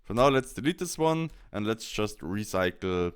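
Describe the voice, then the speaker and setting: A man presenting a software tutorial step by step in an informal, explanatory, conversational way. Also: on the low side, animated, normal speed, clear, resonant tone.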